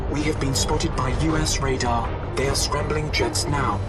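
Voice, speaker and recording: Calm, formal male suit computer voiced by an actor. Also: medium pitch, moderate pace, far from the mic, over loud music, natural voice. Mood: calm alert. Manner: formal, composed, deferential. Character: calm, polite, dutiful AI assistant of an armored suit. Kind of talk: video game cutscene acting.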